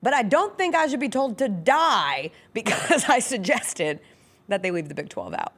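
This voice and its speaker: A woman's voice: fairly high pitch, big pitch swings, normal speed, fairly bright tone. A woman hosting a sports talk show, giving casual, opinionated and analytical commentary with attention to detail.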